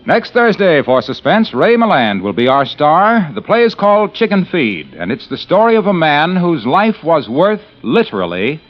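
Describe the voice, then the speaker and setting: A man's voice: fairly high pitch, very animated, moderate pace, hardly any pauses, soft background music. A man announcing and reading sponsor copy on a 1950s radio show. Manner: smooth, salesy. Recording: dull old radio broadcast.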